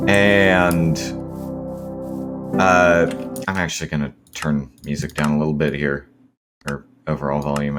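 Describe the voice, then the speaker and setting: A man with a hoarse, scratchy voice from a sore throat, rambling with many ums and self-corrections as he comments on his gameplay. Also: low voice. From Seattle area, US. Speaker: gaming video host.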